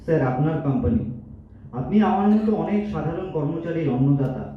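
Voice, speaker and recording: Man acting earnest fast-talking young clerk, echoey. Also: on the high side, big pitch swings. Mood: respectful, restrained. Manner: deferential, impassioned, speechifying. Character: earnest, principled young male accountant, humble yet defiant. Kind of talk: amateur stage audio drama acting. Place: multipurpose hall stage. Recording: distant echoey hall, dull, faint noise.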